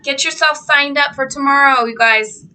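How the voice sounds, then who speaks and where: A woman's voice: high-pitched, moderate pace, sharp tone, far from the mic. A woman co-hosting a live sewing shop stream, chatting casually and greeting viewers by name.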